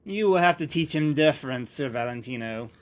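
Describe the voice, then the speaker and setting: A man's voice: mid-range voice, expressive, brisk, natural voice. A man voicing a vain, boastful knight in an amateur fantasy story reading, with haughty, formal speech. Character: pompous, boastful male knight, theatrical and vain.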